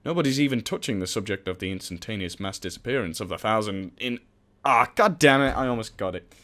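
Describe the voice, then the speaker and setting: A man's voice: on the low side, expressive, quick pace, bright, forceful tone. A young man casually reading a visual novel aloud for a let's play. He jokes, swears and mocks himself as he stumbles over words.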